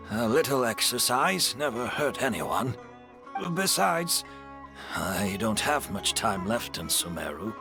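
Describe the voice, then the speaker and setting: An actor playing a gentle, resigned older gentleman in a video game, courteous, wistful and measured. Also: mid-range voice, sing-song, over music. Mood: wistful, calm. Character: gentle, resigned older gentleman, a master who tends flowers. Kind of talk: video game character acting.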